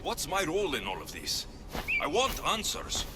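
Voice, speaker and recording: An actor playing a proud, impatient young warrior in a video game, intense and questioning. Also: male voice, fairly high pitch, wildly swooping pitch, moderate pace, heavy background noise. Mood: frustrated. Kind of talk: video game cutscene acting.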